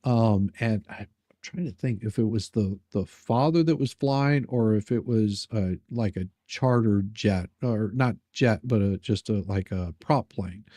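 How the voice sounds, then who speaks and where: A man telling personal anecdotes in casual chat, halting and rambling as he repeats his words. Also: lowish voice, warm tone, no echo, studio quality.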